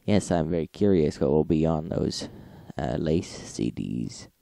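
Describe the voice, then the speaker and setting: A man's voice: a bass voice, animated, unhurried, creaky, warm tone, dry recording, studio quality. A man hosting an informal radio recap, narrating logs in a matter-of-fact manner.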